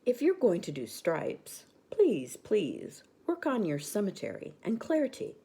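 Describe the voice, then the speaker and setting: A woman's voice: medium pitch, wildly swooping pitch, measured pace, vocal fry, dark tone, slightly rough, voice pushed up, full character voice. Woman doing a haughty, fussy zebra. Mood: condescending. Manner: condescending, precise. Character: haughty female zebra, fussy about grooming.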